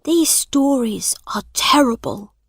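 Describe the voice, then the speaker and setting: Storybook: woman voicing an eager young boy, high and earnest, slow, dry recording. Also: sing-song, dry recording, exaggerated voice. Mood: hushed.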